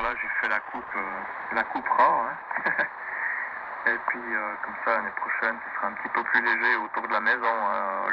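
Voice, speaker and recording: A man with a Swiss French accent chatting casually and hesitantly over amateur radio, as a radio operator. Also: mid-range voice. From Switzerland. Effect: shortwave radio transmission. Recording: very noisy, narrow-band shortwave radio reception.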